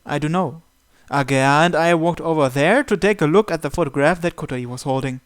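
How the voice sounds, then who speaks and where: A game narrator translating and reading visual novel text aloud, with some hesitant pauses. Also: medium pitch. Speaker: video game playthrough narrator. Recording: game music behind the voice. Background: visual novel music and Japanese voice acting.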